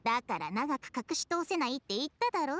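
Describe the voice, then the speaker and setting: Blunt, ancient talking snake. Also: female voice, high-pitched, big pitch swings, quick pace, a bit bright. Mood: dry, solemn. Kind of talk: dramatic game dialogue.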